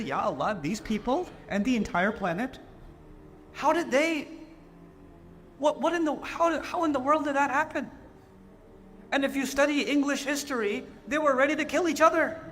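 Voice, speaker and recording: Animated, high-voiced male Islamic lecturer. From Pakistan. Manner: animated, with mocking quoted voices. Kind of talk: lecture to a live audience.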